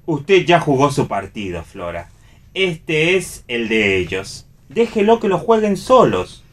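An actor playing a wry, troubled friend in read radio theatre, with a dry, ironic delivery. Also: male voice, a little high, very animated, slow.

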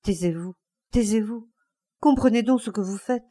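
A woman's voice: medium pitch, moderate pace, dark tone, close to an everyday voice. Woman voicing a dismayed man, murmuring urgently. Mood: alarmed, hushing. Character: dismayed male lover, urgently hushing an accuser.

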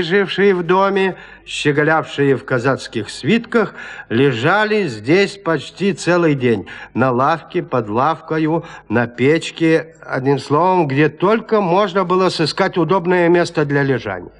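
A man narrating a classic literary tale in an audio drama, measured, with deliberate pauses. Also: a little high, animated.

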